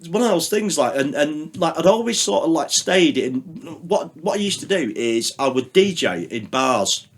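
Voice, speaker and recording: A man's voice: on the high side, lively intonation, normal speed. Rambling man, casual. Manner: rambling, anecdotal, swears, many fillers. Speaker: podcast guest, radio producer. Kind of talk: casual chat.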